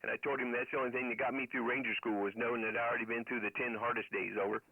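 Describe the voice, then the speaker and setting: A man's voice: mid-range voice, flat delivery, very fast, vocal fry, very noisy. A middle-aged man telling stories casually over ham radio, in a rambling way with military jargon. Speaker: amateur radio operator. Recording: narrow phone-like radio sound.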